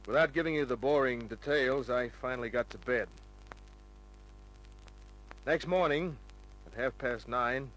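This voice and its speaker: An actor playing a cocky, wisecracking private detective who narrates his own case in a dry, smooth-talking way, in an old-time radio drama. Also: mid-range voice, fairly bright tone, lightly acted, rough recording. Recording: old radio recording with music.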